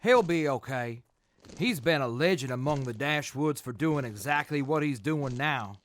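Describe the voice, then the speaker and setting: A man playing an earnest, level-headed soldier in a post-apocalyptic audio drama, measured and sincere. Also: medium pitch, lively intonation, a bit bright, natural voice.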